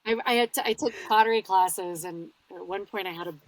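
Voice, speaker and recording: A woman's voice: mid-range voice, brisk, no echo, rough recording. A North American woman chatting casually as a podcast guest and audiobook narrator, telling stories with little acted-out asides.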